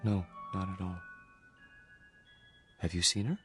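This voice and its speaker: An actor playing an earnest, vulnerable psychiatric patient in a radio drama, with a mild, hesitant manner. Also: male voice, sits low, fairly even intonation, slow, fairly bright tone, soft background music. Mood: anxious, yearning.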